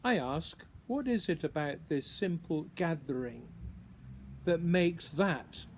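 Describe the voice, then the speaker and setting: Male poet for a spoken reading: unhurried, musing delivery with pauses, slightly high voice, some background noise. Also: sing-song. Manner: deliberate, pausing between short phrases, musing questions. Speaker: writer reading own poem. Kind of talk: introduced poetry reading, measured recitation.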